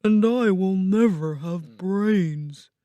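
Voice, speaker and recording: Woman as a slow, simple, loyal scarecrow. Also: mid-range voice, mellow, dry recording, natural voice. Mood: rueful, willing. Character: male scarecrow without brains, simple, willing, loyal.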